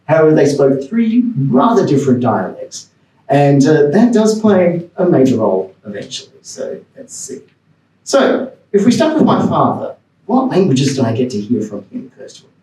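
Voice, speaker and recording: A man's voice: a little high, very animated, normal speed, dark tone, echoey room, distant mic, low-quality recording. A man from Australia giving a personal, rambling talk on a panel, with restarts and chuckles. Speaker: panel speaker. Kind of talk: academic talk. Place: lecture room.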